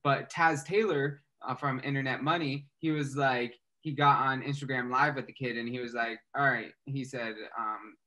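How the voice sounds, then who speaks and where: A man hosts a laid-back interview with a bright, sharp tone at a middle pitch. He talks casually, using "bro" and "like" often. His speech sounds loose and conversational. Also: moderate pace, few pauses. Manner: relaxed, slangy, "bro" talk with "like" fillers. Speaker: podcast host.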